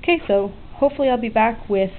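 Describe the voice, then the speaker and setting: A woman's voice: fairly high pitch, fast talker. A woman giving a casual, unscripted hands-on demonstration, explaining things in an informal and frank way. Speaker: DIY electronics hobbyist presenting a tutorial. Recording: muffled phone-like lo-fi audio with faint noise.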